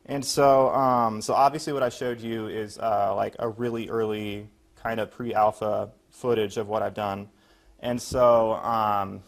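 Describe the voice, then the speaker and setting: Low, creaky-voiced young American man. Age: young adult. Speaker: college student presenter. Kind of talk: informal project presentation.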